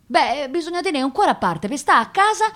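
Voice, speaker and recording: A woman's voice: high voice, big pitch swings, a little fast, a bit bright. An actress playing a proud, touchy young working-class woman with a Roman accent, talking colloquially and emotionally in a radio play. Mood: indignant, agitated. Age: young adult. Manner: colloquial, repeating words, emotional. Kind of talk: theatrical radio drama monologue.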